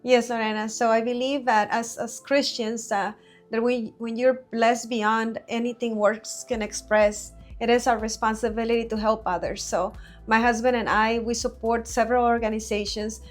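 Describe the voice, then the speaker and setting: A woman in her forties from Mexico, a business owner answering interview questions in an earnest, measured way with frequent fillers, recorded in a studio. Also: on the high side, little pitch movement, soft background music.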